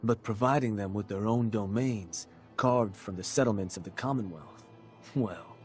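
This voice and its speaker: An actor playing a cocky, scheming raider adviser in a video game, talking in a persuasive, sardonic way. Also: male voice, fairly low pitch, darkish tone, natural voice. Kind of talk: video game character dialogue.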